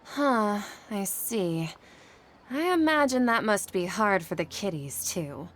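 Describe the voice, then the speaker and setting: A woman's voice: medium pitch, lively intonation, takes it slowly, noisy background. A woman voicing an earnest, self-doubting young woman in a video game, polite and a little hesitant. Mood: puzzled. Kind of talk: video game character acting.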